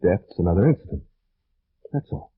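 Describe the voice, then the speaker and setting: An actor playing a proud, courteous young French gentleman, earnest and fatalistic, in old radio drama style. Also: deep voice, expressive, moderate pace, husky. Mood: proud, restrained. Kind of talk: 1940s-50s radio drama acting. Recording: narrow, noisy old radio recording.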